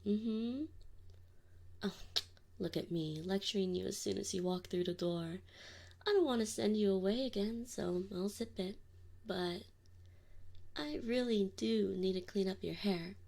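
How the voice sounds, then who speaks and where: A woman playing a doting, gently teasing wolf mother in an ASMR roleplay, speaking softly and often in a whisper. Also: medium pitch, gravelly. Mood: fussing, fond. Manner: soft, slow, often whispered. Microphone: binaural mic.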